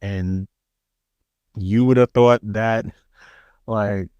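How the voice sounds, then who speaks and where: A man with a New York accent, co-hosting a podcast in casual chat, soft-spoken and halting, often restarting his sentences with a self-deprecating air. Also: on the low side, steady tone, clear, resonant tone, no echo, highs cut off. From Queens, New York. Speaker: podcast co-host.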